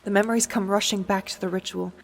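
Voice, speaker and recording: A woman playing a haunted, bitter undead warrior, narrating an audio drama in a hushed, solemn, dramatic manner. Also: mid-range voice, fairly even intonation, fast, gravelly, background noise, natural voice. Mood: haunted dread. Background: music.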